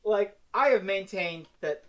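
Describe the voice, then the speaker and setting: A woman's voice: mid-range voice, lively intonation, a little slow. A woman chatting casually and jokingly on a panel, exclamatory and prone to swearing.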